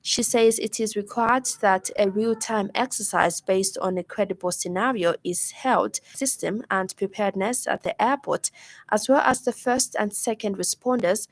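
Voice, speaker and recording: A woman with a Zambian accent presents scripted news on radio in a formal, measured manner. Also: medium pitch, low-quality recording. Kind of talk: scripted news bulletin reading. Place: radio studio.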